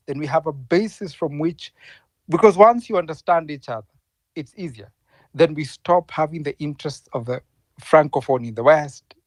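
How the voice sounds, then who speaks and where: A man hosting an online panel discussion, earnest and halting, stumbling over his words. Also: a little high, no room sound. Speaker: host.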